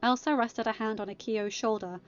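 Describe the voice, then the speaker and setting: A woman's voice: a little high, fairly even intonation, moderate pace, highs cut off. A woman reading scripted fiction as a story narrator. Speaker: podfic narrator. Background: music.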